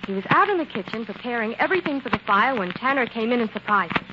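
An actress playing an eager, confident assistant to a sleuth, pert and animated, in a 1940s radio drama. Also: female voice, on the high side, fast, lightly acted. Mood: insistent. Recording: muffled, very noisy 1940s radio recording.

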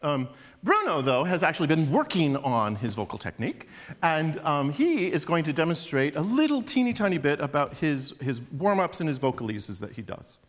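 A middle-aged man, an opera professor, giving a relaxed, chatty public lecture from a concert hall stage, with fillers and small laughs. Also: a little high, normal speed.

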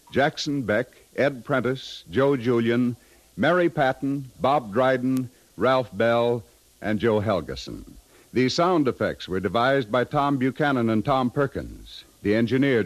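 Casting: American male announcer, fifties radio, medium pitch, dry studio sound, scripted sign-off. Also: no echo. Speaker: network announcer.

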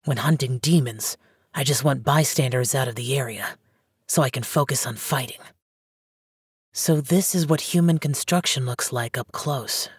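Game role: aloof young male immortal, low-pitched and husky, terse and solemn. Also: normal speed, dry recording, studio quality, full character voice. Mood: aloof, musing. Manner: terse, reserved, solemn, formal phrasing. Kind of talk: English video game character dialogue.

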